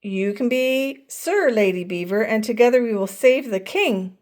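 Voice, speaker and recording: A woman's voice: a little high, lively intonation, measured pace, darkish tone. A woman voicing an eager young boy turtle in a read-aloud storybook, talking in a raised, childlike way.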